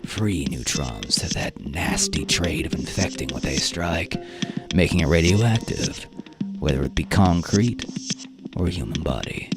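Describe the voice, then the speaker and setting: A man narrating a science fiction radio drama in a deliberate, theatrical way with dramatic pauses. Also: very deep voice, lively intonation. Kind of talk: dramatic scripted story narration. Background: music with guitar.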